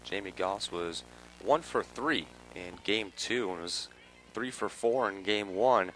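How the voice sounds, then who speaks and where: A man calling a softball game live on the radio, measured between plays and ready to burst into excited calls. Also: on the low side, soft background music, low-quality recording. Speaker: play-by-play sports commentator.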